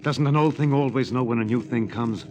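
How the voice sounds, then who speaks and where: A man's voice: medium pitch, brisk, darkish tone, lightly acted. An anguished, eloquent spaceman played by a male actor in a 1950s radio drama. He is intense and indignant, an idealist at odds with his crew. The old recording carries some noise. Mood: bitter, brooding. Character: idealistic, anguished spaceman mourning a dead Martian civilization.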